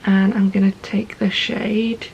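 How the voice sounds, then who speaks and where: Croaky woman talking through her makeup. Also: mid-range voice, a little slow, muffled recording, slight background noise. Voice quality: gravelly. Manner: calm, methodical, narrates each step as she goes. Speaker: makeup vlogger. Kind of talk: step-by-step makeup tutorial.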